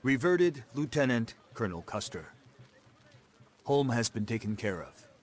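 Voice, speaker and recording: A man's voice: medium pitch, big pitch swings, unhurried. An actor playing a principled, defiant young American frontier man in a game cutscene, firm and earnest. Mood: formal, firm. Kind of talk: video game cutscene acting.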